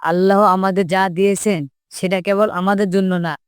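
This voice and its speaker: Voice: mid-range voice, little pitch movement, brisk, clear, resonant tone, no room sound, clean studio sound, natural voice. A performer voicing an earnest, polite, kind-hearted schoolboy in a scripted cartoon. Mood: earnest, concerned.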